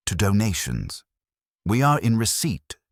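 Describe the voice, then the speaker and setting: A man's voice: fairly low pitch, huge pitch swings, a little slow, no room sound, clean studio sound, pitched above the performer's own voice. A man voicing a prim, imperious older woman who chairs a village committee, speaking pompously and with precision. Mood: grandly formal.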